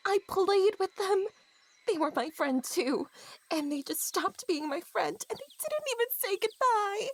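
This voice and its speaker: A woman's voice: extremely high pitch, animated, unhurried, exaggerated voice. A woman voicing a hurt, sulky, childish stuffed toy pig in an audio drama, petulant and indignant. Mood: sad, aggrieved.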